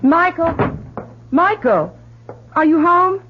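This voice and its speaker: A woman's voice: very high-pitched, very animated, takes it slowly, full character voice. An actress playing a fretful, doting middle-aged wife and mother in an old-time radio drama, emotional and fussing. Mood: anxious, insistent. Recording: tinny, noisy old radio recording.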